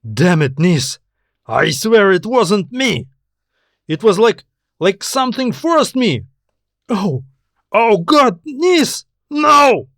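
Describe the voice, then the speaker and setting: Jittery, grudging male space scavenger, performed by a male narrator, greedy, excitable, sci-fi story, dry sound. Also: high voice, slow pace, no echo, pitched above the performer's own voice, exaggerated voice. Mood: terrified panic.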